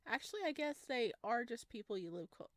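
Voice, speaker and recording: A woman's voice: a little high, expressive, fairly quick, no echo. A woman doing a visual novel let's play, reading aloud and reacting in a chatty, playful, giggly way. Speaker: game streamer.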